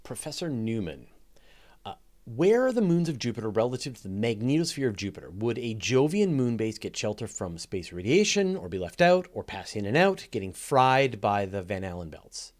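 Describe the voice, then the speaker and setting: A man's voice: mid-range voice, big pitch swings, normal speed. A man hosting a live question-and-answer show, explaining things in an informal, emphatic way and repeating phrases for stress. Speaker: host of a live astronomy Q&A show.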